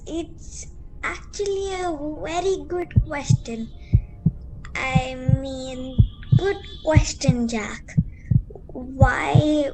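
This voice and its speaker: A woman acting a frightened, polite young girl in an amateur radio play, halting and nervous. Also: sits high, low-quality recording. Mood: uneasy.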